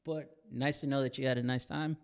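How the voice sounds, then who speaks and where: A man's voice: mid-range voice, steady tone, brisk, clean tone. A young American man co-hosting a podcast, talking casually with a friend, with slang and some swearing. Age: young adult. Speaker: podcast co-host.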